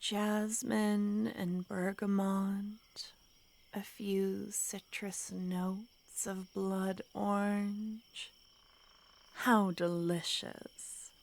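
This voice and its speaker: Woman playing a slow, whispery possessive witch. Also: mid-range voice, level tone, creaky. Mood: intimate. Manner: soft, teasing, often whispered. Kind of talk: ASMR roleplay.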